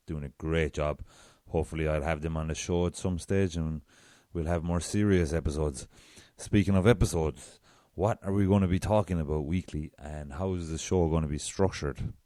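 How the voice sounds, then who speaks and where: Man, Irish accent, very deep voice, smooth resonant tone, self-deprecating podcast chat. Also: a little mellow, no room sound, clean studio sound. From south of Ireland. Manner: chatty, self-deprecating, frequent restarts. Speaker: podcast host. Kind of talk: solo podcast monologue.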